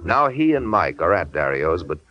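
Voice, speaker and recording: Deep, dramatic radio announcer. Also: male voice, big pitch swings, normal speed, lo-fi sound. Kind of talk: 1940s radio scripted narration. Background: organ music.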